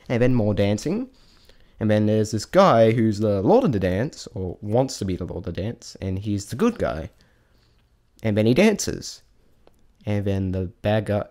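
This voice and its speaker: Man rambling with swooping, animated pitch. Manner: rambling, halting, self-correcting, hesitant. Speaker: vlogger reviewing a stage show. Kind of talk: unscripted spoken review, casual chat.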